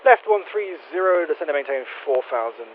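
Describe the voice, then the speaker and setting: A man's voice: on the high side, expressive, brisk, slight background noise. A man giving clipped pilot readbacks over the radio. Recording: dull lo-fi radio transmission.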